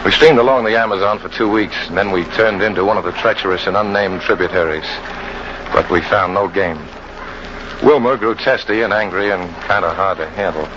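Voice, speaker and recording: An actor playing a tough, brooding jungle guide who narrates an old-time radio drama in colloquial first person, full of hatred. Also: male voice, lowish voice, a little fast, natural voice. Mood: brooding, bitter. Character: bitter hard-boiled jungle hunting guide, fugitive, confessing his hatred. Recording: muffled, noisy old radio recording.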